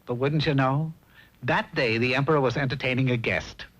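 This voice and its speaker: A man's voice: medium pitch, normal speed, a bit bright. An American man giving arch, theatrical storybook narration for a 1960s cartoon. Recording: dull, faintly noisy old TV soundtrack.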